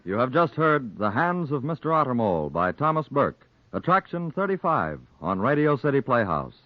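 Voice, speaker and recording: A man's voice: medium pitch, very animated, moderate pace. An American man reading scripted credits as a 1940s radio announcer. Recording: muffled 1940s radio broadcast. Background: loud music.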